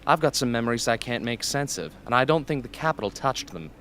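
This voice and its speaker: A man's voice: mid-range voice, animated, fast, fairly bright tone, a little hiss or hum, natural voice. A man playing a bitter, unstable young former captive in an amateur radio drama, sarcastic and needling.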